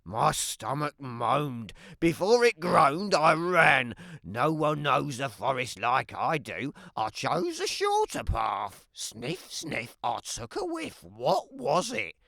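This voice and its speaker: A woman voicing a sly, hungry, wry male wolf who tells his own tale in an animated children's read-aloud, with dramatic emphasis and playful asides. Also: sits low, takes it slowly, vocal fry, few pauses, no room sound, heavily acted. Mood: sly, scheming.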